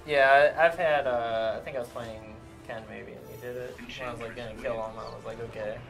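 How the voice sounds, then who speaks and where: A man's voice: medium pitch, fairly even intonation, brisk, gravelly, a bit bright, soft background music. A man chatting casually during a video game match. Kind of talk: casual chat.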